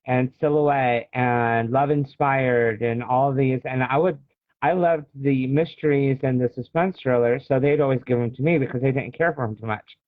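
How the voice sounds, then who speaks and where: A man's voice: mid-range voice, fairly even intonation, moderate pace. American guy in his 40s chatting about himself on an interview, tinny video call sound, no room echo. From Illinois, United States. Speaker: guest author. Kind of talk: personal storytelling in a casual interview. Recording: thin phone-like video call audio.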